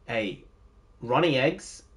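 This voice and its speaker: A man's voice: mid-range voice, lively intonation, slow pace. A man co-hosting a game stream, chatting casually and reading viewer comments aloud. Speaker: co-host reading chat. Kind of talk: casual chat.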